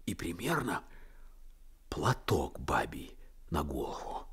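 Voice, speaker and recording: An actor playing a humble, anxious peasant prisoner in a radio drama, speaking with a Ukrainian accent and a husky voice. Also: male voice, a bass voice, big pitch swings, slow pace, a bit bright, heavily acted. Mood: cautious, hesitant. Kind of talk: Soviet radio drama acting.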